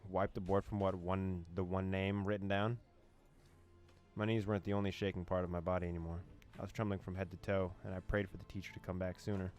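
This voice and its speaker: A man's voice: deep voice, flat delivery, quick pace, darkish tone, faint music behind. A man reading a horror visual novel aloud, translating its lines with dramatic narration and amused asides. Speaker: gaming YouTuber.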